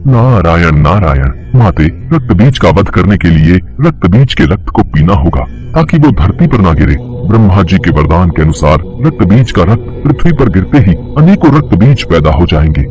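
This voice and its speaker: A devout heavenly sage in a mythological tale, played by a man. His voice is deep and lively in pitch as he gives formal counsel to the gods. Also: moderate pace, hardly any pauses, highs cut off. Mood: grave advice. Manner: formal, advisory. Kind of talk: Hindi mythological audio story acting.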